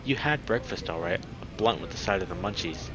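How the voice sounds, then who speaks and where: A man's voice: low voice, animated, fairly quick. A man voicing a sarcastic, blunt animal friend from a visual novel, with a needling manner. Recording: noisy background behind the voice.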